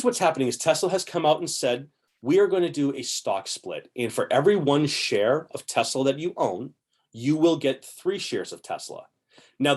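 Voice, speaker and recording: A man in his forties talks straight into a desk webcam from a room at home, upbeat and chatty as he explains things to his viewers. His voice sits in an ordinary middle pitch and carries a slight hoarseness. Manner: upbeat, chatty, gesturing with both hands. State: slightly hoarse, clearing throat. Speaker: investing channel host. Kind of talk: casual explainer to camera.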